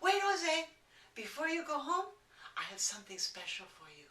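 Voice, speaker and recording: A woman's voice: high voice, very animated, normal speed, a bit bright, reverberant, recorded from a distance, pitched above the performer's own voice. A woman doing a doting grandmother.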